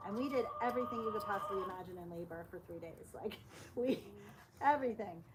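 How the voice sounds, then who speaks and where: Earnest, halting woman over loud background noise. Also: mid-range voice. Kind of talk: casual personal sharing. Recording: noisy live stream, clicks, roosters.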